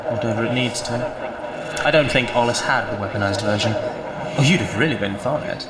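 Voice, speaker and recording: A man voicing a patronising, wry scientist in an audio drama, measured and condescending. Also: medium pitch, close to an everyday voice. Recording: echoey with loud background noise.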